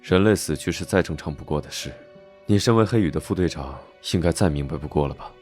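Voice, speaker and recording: A man playing a brooding, arrogant young duke in an animated drama, with a cool, detached manner. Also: quick pace. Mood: aloof, mocking. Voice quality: very deep. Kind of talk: dubbed animated romance drama with inner monologue. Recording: loud music under the voice.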